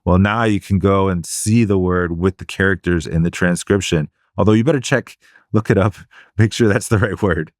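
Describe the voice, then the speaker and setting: A man with a fairly low voice co-hosts a podcast and talks things through in a measured, explanatory way. The sound is dry and close to the mic. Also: no echo. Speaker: podcast co-host. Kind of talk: casual podcast chat.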